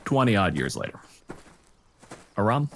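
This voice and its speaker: A man acting as game master, narrating an improvised tabletop story with dramatic pauses and dry humor. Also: fairly low pitch, sing-song, a little hiss or hum.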